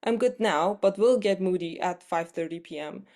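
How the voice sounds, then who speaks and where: A woman's voice: fairly low pitch, moderate pace, warm tone, natural voice. A woman plays a female fortune teller in an absurdist play reading. She hands out predictions in a plain, matter-of-fact way.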